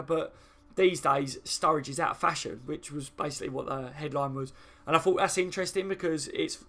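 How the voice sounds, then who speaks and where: Casting: male football streamer, southern English accent, slightly high voice, matey and rambling, informal opinion chat. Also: bright, forceful tone. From southern England. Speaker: football fan channel live stream host.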